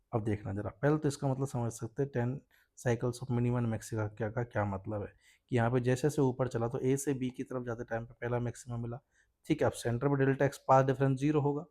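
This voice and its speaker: A man's voice: medium pitch, mellow. A man with an Indian accent, a physics teacher explaining a worked problem step by step and checking understanding with short tags.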